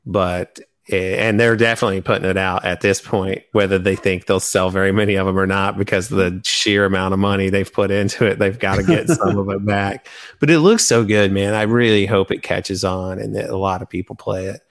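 Guy with kinda low voice, casual geeky podcast host chat. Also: fairly even intonation, a little fast, few pauses.

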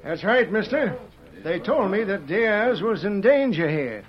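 An actor playing a respectful, official cavalry trooper in an old radio western, speaking in earnest declarations. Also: male voice, high voice, very animated, unhurried. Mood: earnest, admiring. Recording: muffled vintage radio recording, faint noise.

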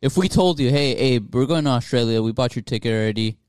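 A man's voice: mid-range voice, fast, darkish tone, smooth, dry recording. A man co-hosting a podcast, playful and laughing often in casual chat with friends. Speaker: podcast co-host.

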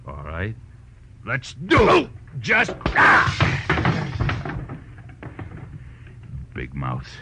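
An American actor playing a big, bullying, mocking thug with blunt, slangy talk in a 1940s radio crime style. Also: male voice, lowish voice, huge pitch swings, slow pace, creaky, loud music behind the voice, exaggerated voice. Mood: threatening. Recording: muffled, faintly noisy old radio recording.

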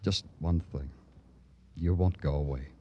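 An American actor playing a tough, brooding, terse big-city police detective in a 1950s radio crime drama. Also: male voice, a bass voice, measured pace, mellow, lo-fi sound. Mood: grave, probing. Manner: terse, hard-boiled, slangy. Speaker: detective and narrator. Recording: noisy old radio recording.

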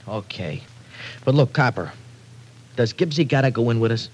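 An actor playing a cocky, evasive young American punk in an old-time radio crime drama, talking in ungrammatical street slang. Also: male voice, mid-range voice, lively intonation, normal speed, close to an everyday voice. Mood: reluctant, cheeky. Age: young adult. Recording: noisy vintage radio recording.

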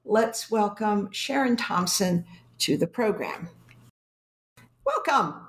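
A woman hosting a history book podcast, introducing and questioning her guest in a measured way. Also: medium pitch, lively intonation. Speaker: podcast host. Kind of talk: podcast interview.

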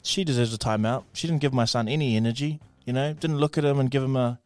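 A man's voice: mid-range voice, fast talker, darkish tone, dry recording, soft background music. A man co-hosting a morning show, playful and teasing in casual chat. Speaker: co-host.

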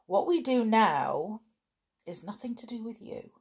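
A woman's voice: a little high, lively intonation, measured pace, darkish tone, husky, voice pushed up, heavily acted. A woman voicing a proper teenage boy who speaks scornfully and tries to sound grown up.

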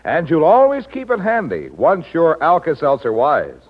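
A man's voice: a little high, huge pitch swings, moderate pace. A man announcing a scripted vintage radio advertisement with emphatic, polished salesmanship. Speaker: commercial announcer. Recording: dull vintage radio broadcast.

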